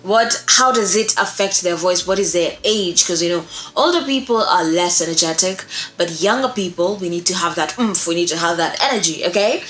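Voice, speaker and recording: A woman's voice: on the low side, moderate pace, a bit bright. A young adult woman giving an instructional talk to camera as a presenter, emphatic and often checking in with her listeners. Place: small indoor room, seated. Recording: echoey room with faint music.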